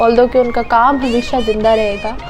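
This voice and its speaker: A sorrowful woman giving a heartfelt spoken tribute, halting as she searches for words and slipping in English phrases. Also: fairly high pitch, little pitch movement, music in the background. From Pakistan. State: grieving, sorrowful. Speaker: singer paying tribute.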